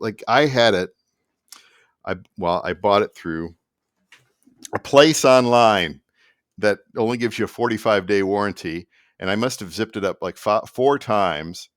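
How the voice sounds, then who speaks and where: A middle-aged man with a notably deep voice chats informally to the camera. He drifts between asides and restarts in a halting, unscripted explainer style. The sound is dry, with very little room echo. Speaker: musician and vlogger. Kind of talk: casual rambling explainer to camera. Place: small indoor room at a desk.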